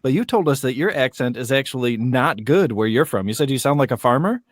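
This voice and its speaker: Guy hosting a podcast, teasing and sweary, casual, medium pitch, no room sound. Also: animated, a little fast, dry recording, clean studio sound. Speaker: podcast host. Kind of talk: casual banter.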